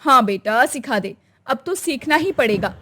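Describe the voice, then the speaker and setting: A traditional older mother-in-law in a family bedtime story, acted out by a woman. Her voice is high and very animated, stern in temper with a tender core. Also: normal speed. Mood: approving.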